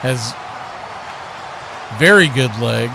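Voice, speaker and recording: An American man giving live play-by-play football commentary in a folksy, animated way, full of homespun exclamations and bursting into loud calls. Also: medium pitch, very slow, creaky, noisy background. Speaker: play-by-play sports commentator on a radio watch party.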